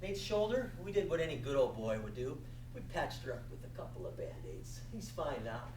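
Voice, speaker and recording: A man's voice: on the high side, very animated, a little mellow. Echoey, theatrical American man telling tall tales. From North Dakota, USA. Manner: theatrical, folksy, dramatic pauses. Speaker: Toastmasters speaker telling a tall tale. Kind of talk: humorous storytelling speech to a live club. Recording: echoey room, distant mic, noisy.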